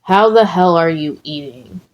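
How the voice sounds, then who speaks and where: Woman doing an angry teen hero boy, gruff n snappy, always picking a fight, story reading. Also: on the low side, unhurried, highs cut off, natural voice. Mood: irritated. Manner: gruff, combative, muttering and snapping. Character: hot-tempered, snarling teenage boy hero student.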